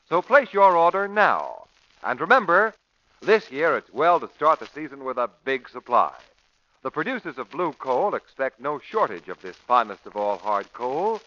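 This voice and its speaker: A man's voice: medium pitch, sharp tone. A man announcing in 1940s radio style, reading scripted narration and ads in an emphatic, formal manner. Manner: emphatic, formal, crisp selling delivery. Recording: muffled old-time radio recording.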